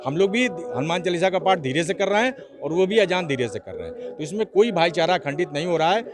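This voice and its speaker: Male local activist, high-pitched, argues insistently with repeated phrases, clear crowd sound around him. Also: very animated, fast talker. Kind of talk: interview answers, argumentative explanation. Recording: clear crowd noise behind.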